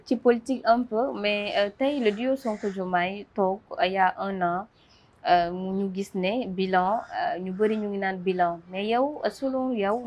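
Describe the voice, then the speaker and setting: A woman hosts an interview in a formal, welcoming manner. She fills the gaps with many fillers and short acknowledgements, at a medium pitch. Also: a little mellow. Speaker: interview host. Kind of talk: interview hosting mixing Wolof and French.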